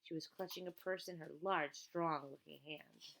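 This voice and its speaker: Female children's audiobook narrator, slightly low voice with noticeable creak. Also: fairly even intonation, normal speed, fairly bright tone, slight background noise, rough recording. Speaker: audiobook reader. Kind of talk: scripted narration of a children's story.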